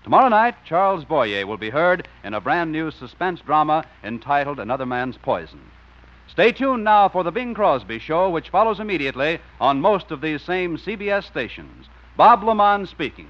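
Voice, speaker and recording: A man's voice: on the high side, sing-song, normal speed. A male radio announcer giving the closing credits and station promos in formal broadcast style. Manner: crisp, formal announcer delivery. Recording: dull 1950s radio broadcast.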